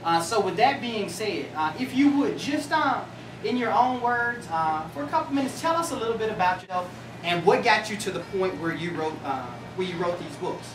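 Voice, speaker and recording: A man hosting an author event at a cafe, asking his question haltingly with many fillers. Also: sits high, expressive. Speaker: event host. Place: coffee cafe in a shopping mall. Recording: roomy, distant, noisy cafe recording.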